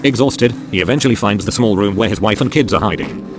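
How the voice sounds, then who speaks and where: A man's voice: fairly low pitch, fast talker. A man reading scripted narration of dramatic action scenes as a storyteller.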